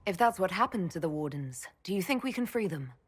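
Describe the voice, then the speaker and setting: A woman playing a burdened, determined heroic leader in a video game, direct and questioning, with a husky voice. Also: fairly low pitch, lively intonation, brisk. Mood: direct, weary. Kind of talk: video game cutscene acting.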